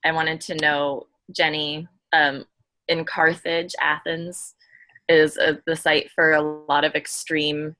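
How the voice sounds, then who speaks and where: Female panelist, very low register, crisp forward tone, conversational questioning. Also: little pitch movement, slow pace, bright, forceful tone. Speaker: podcast panelist. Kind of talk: casual chat.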